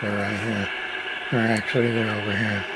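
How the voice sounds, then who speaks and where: A man's voice: lowish voice, flat delivery, moderate pace, very noisy. A man testing and repairing an old radio, muttering test calls and meter readings in casual workbench commentary.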